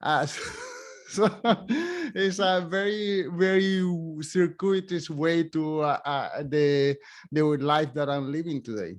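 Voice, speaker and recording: Middle-aged male podcast host, Spanish accent, slightly higher voice, halting and self-interrupting. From Spanish-speaking country. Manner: halting, many restarts and fillers, laughs easily. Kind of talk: casual interview chat.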